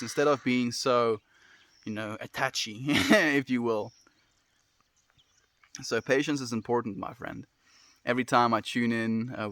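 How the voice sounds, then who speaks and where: A young man with a South African accent, hosting a casual livestream, answering chat in a gentle, affectionate way and often calling listeners "my friend". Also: on the low side, no room sound. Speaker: livestream host reading chat.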